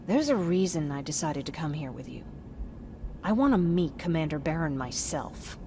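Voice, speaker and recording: A woman's voice: deep voice, expressive, normal speed, warm tone, slight background noise. A woman playing a fiery, defiant civilian scavenger in a video game, blunt and emotional. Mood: subdued, uneasy. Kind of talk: video game dramatic acting.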